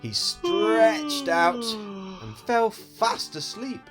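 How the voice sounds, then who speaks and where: A man reading a children's story aloud as host and storyteller, in an expressive, explanatory way. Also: very high voice, drawn-out words, over music.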